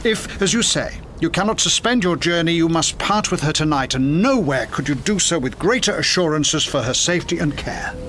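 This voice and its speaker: A middle-aged squire in a gothic period drama, courteous yet used to command. The voice is a little high for a man, formal and courtly, with faint noise in the background. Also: animated, normal speed, few pauses, a bit bright. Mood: courteous, persuasive.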